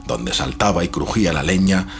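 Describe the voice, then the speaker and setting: A man narrating a scripted literary horror tale in a measured, dramatic storytelling manner. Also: low voice, animated, gravelly.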